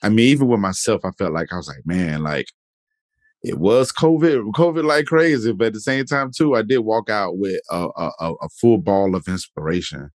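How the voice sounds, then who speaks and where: A low-pitched man hosts a podcast chat in a loose, easygoing way, circling back and restarting his sentences often. The sound is dry and close, with no room around it. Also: moderate pace, dry recording. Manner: relaxed, rambling, many fillers and restarts. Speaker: podcast host. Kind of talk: casual interview chat.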